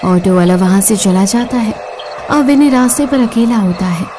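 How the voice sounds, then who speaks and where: A woman reading scripted narration for an animated horror story as its storyteller. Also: medium pitch, moderate pace, noisy background. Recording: clear music bed behind the voice.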